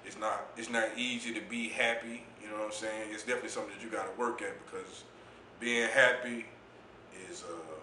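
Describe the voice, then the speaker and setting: A man with a deep voice, speaking as an interview guest in a laid-back, reflective way about his poetry and youth mentoring. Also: fairly even intonation, fairly quick, bright, forceful tone, roomy sound, slight background noise, low-quality recording. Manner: laid-back, reflective, says "you know what I'm saying" often. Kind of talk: casual interview talk.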